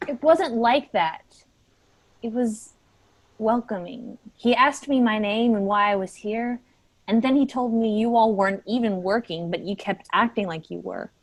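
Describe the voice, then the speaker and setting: A woman's voice: mid-range voice, no echo. A woman playing a sensitive, guilt-ridden and defensive office worker in a staged play reading.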